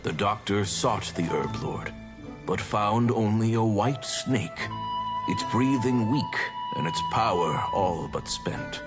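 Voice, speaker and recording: An actor playing a solemn storyteller, narrating an ancient legend in a fantasy game with grave, measured drama. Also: male voice, fairly low pitch, expressive, over loud music.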